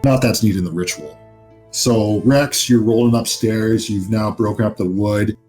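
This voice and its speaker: Low voiced guy game mastering a horror rpg, talking it through off the cuff, music behind. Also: normal speed, a little mellow. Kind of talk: narrating a tabletop horror roleplaying game.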